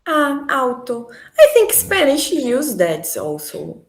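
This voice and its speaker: A woman from Brazil, speaking with a Brazilian Portuguese accent, gives a language lesson in English. She talks slowly and stops to hunt for English words. Her voice sits slightly low and carries room echo. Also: huge pitch swings. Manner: hesitant, searching for English words. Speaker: language tutor.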